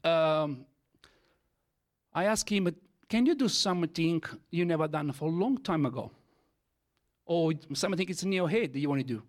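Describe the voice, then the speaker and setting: A man with an Italian accent, his first language Italian, tells a church testimony in English. He talks in halting bursts, with many fillers and restarts. His voice sits a little high for a man. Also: animated. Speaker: church speaker giving testimony. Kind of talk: testimony sermon.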